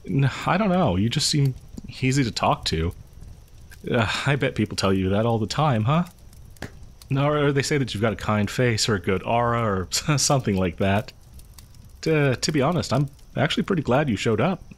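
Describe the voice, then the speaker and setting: A man's voice: medium pitch, animated, normal speed. A man playing a friendly, awkward young local who shares grim rumors in a hesitant, rambling, conversational way for an audio horror roleplay. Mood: shy, friendly. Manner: hesitant, rambling, nervous chuckles.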